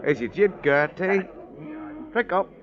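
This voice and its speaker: Wheedly pub creep, high voice, fawning, old radio play, noisy dull sound. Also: male voice, expressive, a little slow. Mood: coaxing. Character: wheedling, fawning male pub suitor buying gin. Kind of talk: 1950s radio drama acting.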